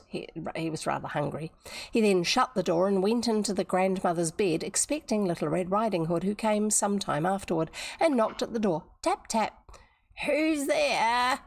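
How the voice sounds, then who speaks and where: A woman's voice: mid-range voice, creaky, darkish tone. Kiwi woman reading a fairy tale on stream, keeps wandering off to chat and explain stuff. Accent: New Zealand. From New Zealand. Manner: chatty, digressive, explains old words. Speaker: livestreamer reading a storybook. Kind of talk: reading aloud with chatty asides.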